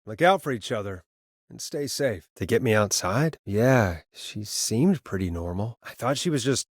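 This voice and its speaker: A man's voice: on the low side, animated, moderate pace, no room sound, studio quality. A man voicing a video game character, a harried but kind late-night radio DJ who is wry and reassuring and sometimes stammers. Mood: uneasy, wry. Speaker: radio host. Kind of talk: video game voice acting, conversational radio patter.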